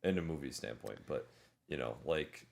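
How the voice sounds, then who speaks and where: A man co-hosting a podcast, discussing films casually in a measured, hedging, conversational way. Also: rumbling low, creaky. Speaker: podcast co-host.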